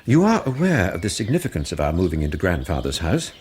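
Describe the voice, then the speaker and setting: An actor playing a pompous, middle-aged Victorian gentleman father in a radio drama, formal and authoritative with an English accent. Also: low voice, huge pitch swings, quick pace, a little hiss or hum. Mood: proud. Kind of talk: 1990s radio drama acting.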